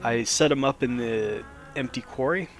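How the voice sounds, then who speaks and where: A man, a little hoarse after a cold, casually explaining a modded game as he plays, with plenty of ums and restarts. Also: mid-range voice, moderate pace, slight background noise. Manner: relaxed, rambling, lots of fillers. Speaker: Minecraft let's play host.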